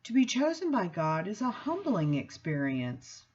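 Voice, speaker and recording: A woman reads from a book aloud in an expressive style, with measured, deliberate phrasing and emphatic pauses. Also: mid-range voice, dull audio, slight background noise. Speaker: seminary student reading aloud. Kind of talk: expressive book reading with spoken introduction.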